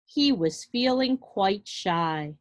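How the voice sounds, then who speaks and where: A woman preschool teacher reading to young children in an animated way, with simple explanations and questions spoken directly to them. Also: medium pitch, slow. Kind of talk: read-aloud to young children with asides and questions.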